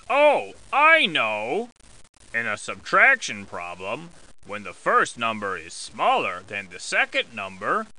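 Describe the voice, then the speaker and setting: A man's voice: sits high, pushed and bright, smooth, heavily acted, poor audio. A man voicing an over-enthusiastic, eerie schoolteacher in a video game, with an exaggerated cartoon voice and emphatic delivery. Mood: cheerful, helpful. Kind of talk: video game character voice lines.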